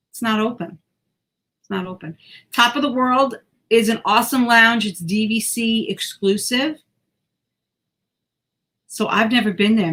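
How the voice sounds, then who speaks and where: A woman's voice: on the high side, sharp tone. Chatty woman co-hosting a podcast. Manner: chatty, stumbles over words, self-deprecating. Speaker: podcast co-host. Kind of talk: casual chat.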